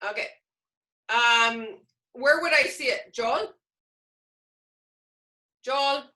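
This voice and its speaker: Bright, high-voiced woman giving a workshop. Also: extremely slow, low-quality recording. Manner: explanatory, poses questions and answers them. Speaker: teacher trainer presenting an online workshop. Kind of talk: informal live presentation to teachers. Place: online video meeting. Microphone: video call microphone.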